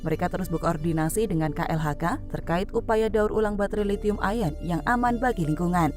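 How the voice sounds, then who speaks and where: A woman's voice: medium pitch, a little mellow. A woman reads scripted news commentary in a formal manner. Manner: formal, read-out delivery. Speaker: narrator. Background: background music.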